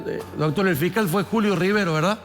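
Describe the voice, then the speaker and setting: Guy chatting on a panel, kinda high voice, stumbles around his phrases, bit of music behind. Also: fairly quick. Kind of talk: casual discussion.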